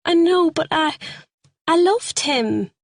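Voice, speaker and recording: A woman voicing a distraught, battered woman who pleads through tears in a high voice. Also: animated, takes it slowly, no room sound, full character voice. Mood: tearful.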